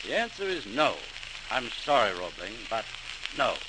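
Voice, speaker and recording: A man's voice: medium pitch, very animated, moderate pace, close to an everyday voice. An actor playing a skeptical, brusque male official in old-time radio drama, speaking bluntly and dismissively on a noisy old recording. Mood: dismissive, exasperated. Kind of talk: 1940s radio drama acting.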